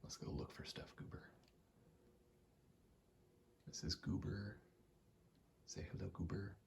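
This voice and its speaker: A man in his twenties doing a casual ASMR gaming ramble, soft-spoken and often whispering, in a halting, meandering way. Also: low voice, little pitch movement, gravelly. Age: 20s. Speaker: ASMR gaming video host. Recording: faint noise, game button clicks. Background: controller clicking, faint background noise.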